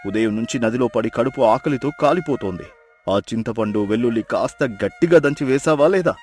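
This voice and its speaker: Guy playing a kind village fisherman husband, firm n reassuring, mid-pitched, bit of music behind. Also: fairly quick, a little mellow, lightly acted. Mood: hungry, impatient. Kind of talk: acted moral story dialogue.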